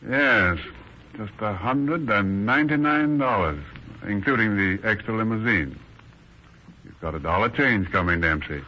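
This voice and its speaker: Low, slow, polite funeral director. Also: male voice, lively intonation. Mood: courteous. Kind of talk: 1930s radio acting. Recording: muffled hissy 1930s radio recording.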